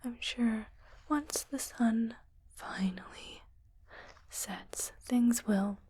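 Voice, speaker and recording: A woman playing a calm, reassuring spaceship doctor in a soft, breathy ASMR whisper, speaking gently and soothingly. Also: a little high, very slow, a little hiss or hum. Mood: reassuring, uneasy. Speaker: ASMR roleplayer. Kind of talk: soft ASMR medical roleplay.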